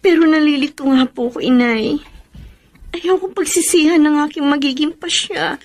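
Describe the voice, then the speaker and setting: A woman's voice: high-pitched, moderate pace, close to an everyday voice. An actress playing a principled, pleading young woman torn by love in a Filipino radio drama, with a Filipino accent. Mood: confused, anguished.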